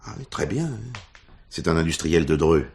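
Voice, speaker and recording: Deep-voiced questioning detective. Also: male voice, big pitch swings, moderate pace, darkish tone, heavily acted. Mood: doubtful. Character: loyal, questioning male junior police detective. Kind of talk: 1970s French radio crime drama acting.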